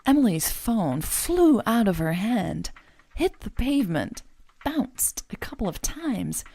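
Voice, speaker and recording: A woman narrating audio fiction as a podcast host, in an expressive storytelling style. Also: medium pitch, slow, vocal fry, breathy edge.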